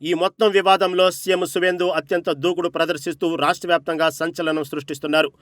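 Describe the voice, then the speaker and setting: A man's voice: on the high side, flat delivery, fast, music in the background. A man reading a scripted news report as narrator, with an emphatic, dramatic delivery.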